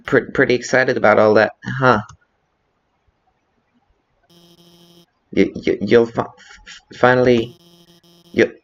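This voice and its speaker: A man voicing a nervous, guilt-ridden scientist with a heavy stutter in a fan dub of a video game. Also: medium pitch. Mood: nervous, halting. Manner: heavy stutter, halting, anxious. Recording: slightly dull lo-fi audio.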